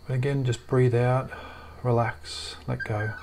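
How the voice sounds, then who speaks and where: A man leading a guided meditation in a soft, soothing, gently reassuring way. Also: medium pitch, flat delivery, unhurried, slight background noise.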